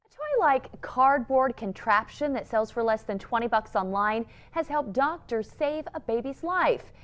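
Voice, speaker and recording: A woman's voice: on the high side, moderate pace. A woman anchoring a TV newscast, reading scripted stories and teases. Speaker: TV news anchor. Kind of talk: scripted news presenting.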